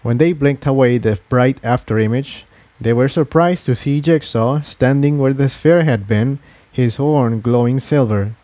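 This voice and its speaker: Mellow male story narrator, dry sound. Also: mid-range voice, no room sound.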